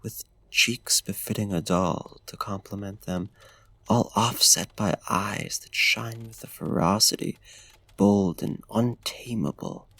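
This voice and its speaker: Courtly vampire gentleman, played by a male actor for ASMR roleplay: low, gravelly, genteel and smitten, speaking softly and formally up close. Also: slow pace, dry recording, heavily acted. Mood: entranced, seductive. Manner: formal, old-fashioned, genteel diction.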